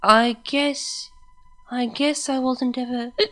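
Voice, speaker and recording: Woman doing a high, slurring drunk lady pony. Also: steady tone, sharp tone, a little hiss or hum. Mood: drunk, confiding. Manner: slurred, breathless, drawn-out words. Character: very drunk, giggly, posh female pony, crude and rambling.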